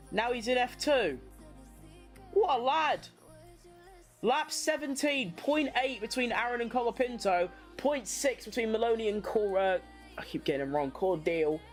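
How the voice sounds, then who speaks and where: A woman hosting a watchalong, giving casual live motorsport commentary in an informal, slangy way, as if thinking aloud. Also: fairly high pitch. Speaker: livestream watchalong host.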